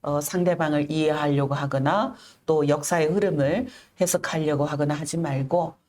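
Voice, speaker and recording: A middle-aged woman telling her own story in an interview, earnest and measured, pausing often and enunciating with care. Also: sits low, fairly even intonation, darkish tone. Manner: earnest, measured, with frequent pauses and careful enunciation. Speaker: interview guest, activist. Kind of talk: reflective personal storytelling in an interview.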